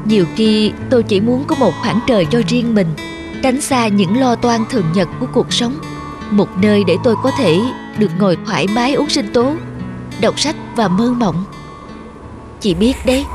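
A woman's voice: on the high side, lightly acted. A woman playing a weary, put-upon young housewife and mother in a cartoon. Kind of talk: Vietnamese cartoon voice acting. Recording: music under the voice.

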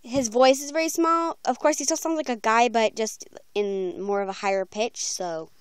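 Young female vlogger, very high smooth voice, informal and rambling, recorded close and dry on a phone. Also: normal speed, no room sound. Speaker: video creator announcing voice auditions. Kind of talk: casual chatty vlog. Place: small room at home, bedroom. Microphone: handheld phone, close by.

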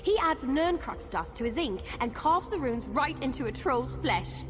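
A woman voicing a spirited young female adventurer in a video game, chatty, earnest and wryly expressive. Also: high-pitched, normal speed, big-room sound, over music. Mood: admiring, chatty. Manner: expressive, wry. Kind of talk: video game character dialogue.

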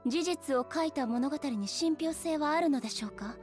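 A woman plays a dignified female ruler from a fantasy game. Her voice is high and composed, with a measured, formal politeness. She sounds earnest and a little naive, over soft background music. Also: natural voice. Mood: puzzled, earnest. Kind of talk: Japanese game voice acting.

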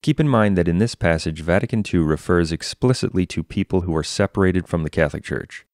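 Deep-voiced man, formal scripted narration. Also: brisk, darkish tone, no room sound, studio quality. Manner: emphatic, declarative, formal. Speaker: religious commentator narrating.

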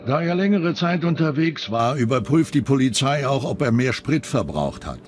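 A man's voice: mid-range voice, animated, moderate pace. A man reading scripted narration for a true-crime documentary. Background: background music.

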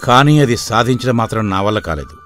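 A man playing a weary, worried but shrewd middle-aged village father in an animated moral story, speaking plainly and earnestly. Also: mid-range voice, a little fast, natural voice. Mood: measured, persuasive. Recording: background music throughout.

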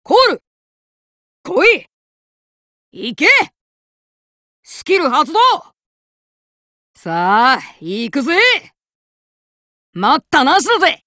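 A woman voicing a brash, cocky young male card fighter who boasts and shouts battle chants, recorded as game voice lines. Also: high voice, very animated, drawn-out words, long pauses, no room sound, heavily acted. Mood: fired up. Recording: dry studio recording.

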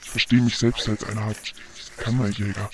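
A man's voice: on the low side, flat delivery, normal speed, a bit bright, lightly acted. A man acting in a surreal radio play as an anxious, solemn figure who speaks in formal, repetitive declarations. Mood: solemn, yearning. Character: anxious, solemn man craving quiet and clean air.

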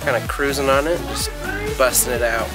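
A man's voice: medium pitch, moderate pace. A man in his thirties filming a casual family vlog, playful and encouraging with his kids, holding the camera. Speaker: vlogging dad. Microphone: handheld camera. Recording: background music under camera audio.

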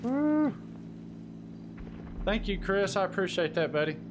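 High-pitched man streaming games. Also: big pitch swings, a little slow, background noise. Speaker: gaming live streamer and server admin. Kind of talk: casual gaming chat with friends.

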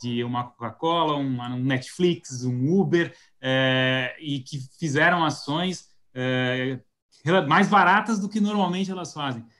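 A Brazilian man with a Paulista accent and a somewhat high voice, talking as a guest on a live video call. He thinks aloud, restarting phrases and leaning on fillers as he builds his examples. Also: measured pace, a bit bright. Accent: Paulista Brazilian Portuguese. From São Paulo state, Brazil. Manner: thoughtful, hesitant restarts, many fillers. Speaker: guest marketing specialist. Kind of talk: live webinar conversation. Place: home office indoors. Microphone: video call webcam mic.

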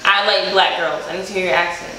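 A woman's voice: on the low side, steady tone, fast, pushed and bright, very echoey, far from the mic, slight background noise, rough recording. A young woman co-hosting, chatting bluntly and casually. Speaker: co-host. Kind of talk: casual chat. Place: living room.

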